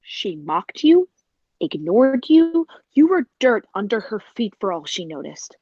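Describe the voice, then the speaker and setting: A woman playing a jealous, cold-blooded circus performer in a 1940s radio drama, deliberate and scheming, on a muffled recording. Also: mid-range voice, big pitch swings, mellow, slightly rough, no room sound, heavily acted. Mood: defensive, scornful.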